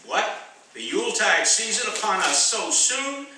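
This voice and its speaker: An actor playing a sardonic, cynical, wisecracking prisoner of war in a radio drama, with a mocking manner. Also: male voice, high-pitched, big pitch swings, unhurried, sharp tone, heavily acted. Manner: mocking, sarcastic. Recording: echoey, distant, faint noise.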